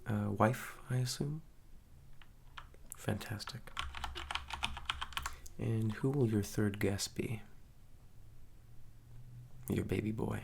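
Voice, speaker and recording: A man playing a courteous but ominous clerk at a haunted hotel, speaking softly and slowly in an ASMR roleplay. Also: noisy background. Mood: reassuring, courteous. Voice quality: soft-spoken, low, gravelly. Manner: slow, measured, hushed, politely eerie.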